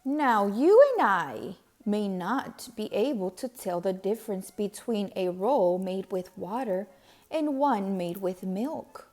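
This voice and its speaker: Very slow, mellow woman storyteller. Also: medium pitch, lively intonation. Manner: deliberate, measured phrasing. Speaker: read-aloud storyteller. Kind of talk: children's picture book narration.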